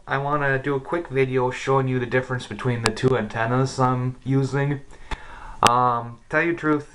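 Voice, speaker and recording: Casual man, noisy homemade demo recording. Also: medium pitch. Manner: informal, thinking aloud. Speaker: amateur shortwave radio hobbyist and presenter. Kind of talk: casual hands-on demonstration vlog. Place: indoor room with radio equipment. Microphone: camera's built-in mic. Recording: noisy cheap camera mic, radio static. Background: shortwave radio hiss and clicks.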